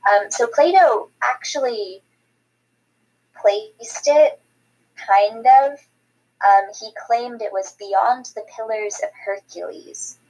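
A woman's voice: a little high, takes it slowly, darkish tone, breathy edge, poor audio. A Scottish woman co-hosting a podcast, explaining a topic in chatty casual talk with frequent ums. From Scotland. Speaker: podcast co-host. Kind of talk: casual chat.

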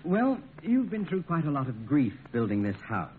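Smug wry lawyer in old radio comedy, very animated pitch, noisy tinny recording. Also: male voice, normal speed. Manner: teasing, composed, wry. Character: smug, unflappable lawyer and family friend. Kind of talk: 1950s radio comedy acting.

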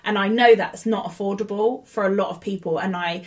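Woman on a podcast, medium pitch, chatty and informal, explains stuff casually. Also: brisk. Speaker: podcast co-host.

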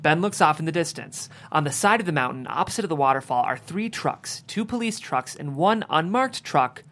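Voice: mid-range voice, lively intonation, brisk, fairly bright tone. A narrator reading the stage directions of a screenplay at a table read.

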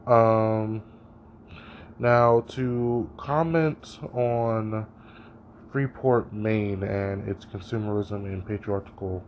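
A man's voice: fairly low pitch, a little mellow, slight background noise. A young man talking through his thoughts as a student, hesitant and thinking aloud, with many "you know" fillers. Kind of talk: spoken reading reflection.

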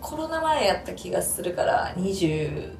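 Kansai lady just chatting on a podcast, really expressive up and down, echoey room w soft music. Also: lowish voice, normal speed, gravelly. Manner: animated, expressive storyteller. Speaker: podcast co-host, stage actress. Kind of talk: casual chat. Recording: roomy echo, faint music underneath.

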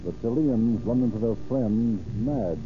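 A man's voice: mid-range voice, a little slow, close to an everyday voice. An actor playing a solemn male narrator of a police drama, with an American accent and a formal, declarative delivery. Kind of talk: 1930s radio scripted narration. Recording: tinny old radio recording with loud music.

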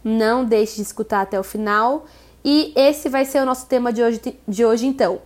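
A woman's voice: fairly high pitch, normal speed, a little mellow. A woman around thirty, hosting a casual podcast interview and talking straight to her listeners in a chatty, upbeat way. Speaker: podcast host.

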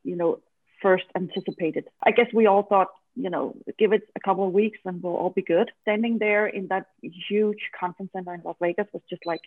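A woman's voice: medium pitch, fairly even intonation, a little fast, dry recording. A woman in her forties, an event strategy consultant, answering interview questions in a casual, hesitant way with lots of fillers. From Denmark. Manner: hesitant, many fillers, laughs easily. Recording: dry tinny phone-like line.

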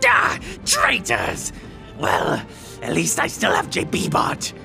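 A man's voice: on the high side, huge pitch swings, slow, vocal fry, over music, exaggerated voice. A man voicing a pompous, easily exasperated paladin villain leader in a comedic cartoon, speaking grandly.

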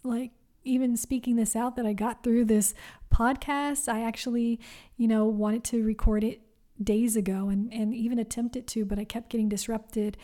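Young woman, podcast host, mellow and a bit high, unhurried and warmly encouraging. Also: level tone. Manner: soft-spoken, gentle and encouraging, with many pauses. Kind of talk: solo wellness podcast talk.

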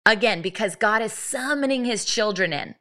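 A woman's voice: mid-range voice, lively intonation, moderate pace, bright, forceful tone. A woman in her thirties hosting a faith podcast, telling a story earnestly and with lots of animation, restarting her sentences as she goes. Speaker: podcast host. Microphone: podcast microphone, live stream.

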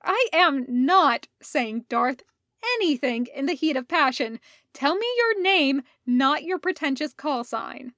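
A woman's voice: animated, slow, fairly bright tone, no echo, clean studio sound, heavily acted. A woman voicing a sarcastic, easily flustered young male Jedi who babbles when nervous. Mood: indignant. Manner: babbling, sarcastic and high-strung.

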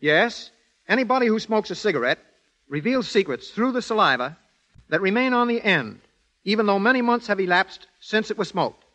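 Casting: male criminologist type, high sharp voice, formal explanatory reading, vintage 1930s radio interview. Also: animated, normal speed. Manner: precise, explanatory. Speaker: guest criminologist. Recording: dull 1930s radio broadcast.

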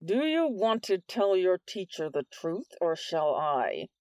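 Woman doing a cold, slow-talking vice principal. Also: sits high, sing-song, a little mellow, dry recording, heavily acted. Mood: cold menace. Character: menacing, spitting, scowling male vice principal.

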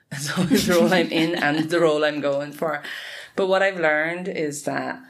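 A woman in her forties answering interview questions earnestly, often laughing and restarting her sentences. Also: very deep voice. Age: 40s. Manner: earnest, frequent laughs, self-correcting. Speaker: guest, tech support lead.

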